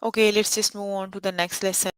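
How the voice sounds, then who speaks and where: A woman's voice: mid-range voice, flat delivery, fast, smooth, no room sound. A young adult woman teaching a live online math lesson over a computer mic, stopping often to check that students follow. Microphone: computer mic on an online call.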